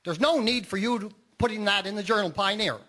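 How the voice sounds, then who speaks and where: A man playing a gruff, irritable army major in a radio drama, blustering and indignant. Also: high-pitched, fast talker, pushed and bright. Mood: defensive. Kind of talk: amateur radio drama acting.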